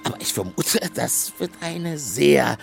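Gravelly, blustery dwarf. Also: male voice, on the high side, unhurried, faint music behind, exaggerated voice. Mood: explaining, hesitant. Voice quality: gravelly, creaky. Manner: blustery, excitable, colourful speech. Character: stocky, gruff, hearty male dwarf, talkative and hungry. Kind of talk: children's audio drama acting.

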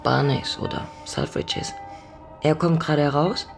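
A lonely middle-aged woman speaks with a creaky edge in an intimate radio play. She is reflective and teasing, playful yet haunted, with music behind her. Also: low voice, big pitch swings, a little slow, full character voice. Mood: dreamy, wistful. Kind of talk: intimate radio drama acting and narration.

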